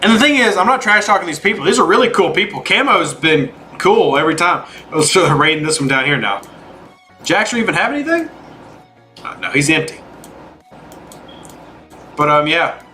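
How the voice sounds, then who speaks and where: A man with a high-pitched, bright, forward voice hosts a gaming livestream. He talks informally, moving between commentary on play and replies to viewers he greets by name. Light music plays behind him. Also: very animated, moderate pace, long pauses. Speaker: game livestream host. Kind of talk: casual livestream chat while gaming, answering viewers.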